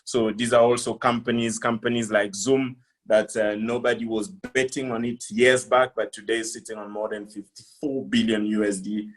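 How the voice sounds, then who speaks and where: A middle-aged man from the Democratic Republic of Congo giving a business presentation on a video call, speaking in a halting, deliberate way. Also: lowish voice, little pitch movement, rough recording. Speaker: conference speaker, company founder.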